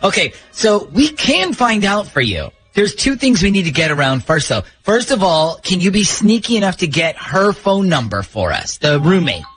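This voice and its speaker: High-pitched, lively American male radio host. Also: normal speed, hardly any pauses, faint music behind. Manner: joking, talkative. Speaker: radio host running a prank call segment. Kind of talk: radio show banter and phone interviewing.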